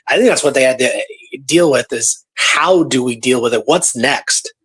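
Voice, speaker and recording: A man talking casually through a historical story as co-host, musing and hesitant, circling back over his phrases in a slightly husky voice. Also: medium pitch, moderate pace, a bit bright, poor audio.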